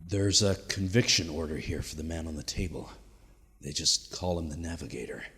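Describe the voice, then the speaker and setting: A man's voice: extremely low pitch, moderate pace, a bit bright. A man acting a weary, haunted soldier who records a grave, confiding survival log in an audio drama. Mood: grave, curious. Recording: echoey hall with long reverb.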